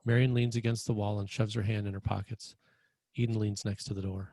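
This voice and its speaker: A man's voice: fairly low pitch, level tone, a little fast, dark tone, no echo. A man reading screenplay stage directions as narrator, in a plain, descriptive manner.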